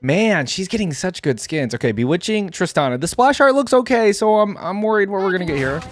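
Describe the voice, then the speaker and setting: A man's voice: high-pitched, very animated, brisk, dry recording. A young man giving casual, laid-back reaction commentary, thinking aloud as host.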